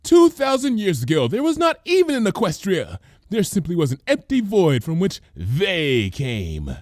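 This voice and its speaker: A man's voice: sits high, wildly swooping pitch, moderate pace, a little mellow, no echo, studio quality, pitched above the performer's own voice. A man voicing a theatrical, unhinged young female pony conspiracy zealot who proclaims everything dramatically. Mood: proclaiming.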